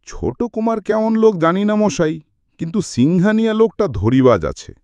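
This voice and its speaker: A man voicing an excitable middle-aged sidekick in a story, chatty and suspicious, with a confiding, emphatic manner. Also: sits high, moderate pace, no echo, highs cut off, pitched above the performer's own voice. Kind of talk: audiobook character dialogue.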